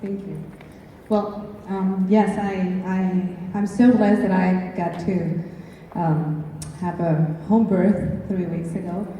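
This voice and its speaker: A woman gives a personal testimonial as a panelist, halting now and then to repeat a word. She sounds far from the microphone in a long, ringing hall, with faint noise behind her. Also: fairly low pitch, steady tone, mellow. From Mexico City, Mexico. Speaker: panel speaker. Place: large echoing event hall. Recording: echoey hall, distant mic, faint noise.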